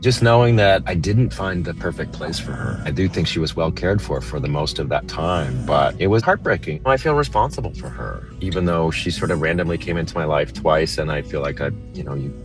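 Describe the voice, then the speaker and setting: A man's voice: sits low, lively intonation, brisk. A man telling a personal story in an interview, speaking earnestly and from the heart. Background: music.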